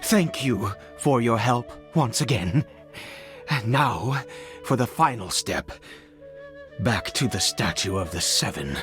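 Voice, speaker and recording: Halting, weary father, swinging pitch. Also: animated. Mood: weary, melancholy. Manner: hesitant, halting, stammering. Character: weary, anxious, self-doubting ageing father in a fantasy world. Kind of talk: dramatic video game voice acting. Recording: background music under the voice.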